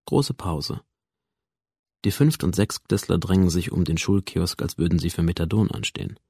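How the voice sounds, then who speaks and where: A detached teenage outsider narrates a radio drama in a laconic deadpan. The voice is low and creaky, recorded very dry and close, with a sardonic edge. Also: male voice, moderate pace, no room sound. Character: dead teenage school shooter's digital memory copy, detached, sardonic loner. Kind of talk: radio drama, first-person inner narration.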